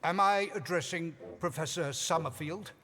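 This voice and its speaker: A man performs a stern, commanding time traveller in an audio drama. He is grave and imperious, his voice fairly high and ringing in a long reverb. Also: normal speed, fairly bright tone, lightly acted. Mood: grave, urgent.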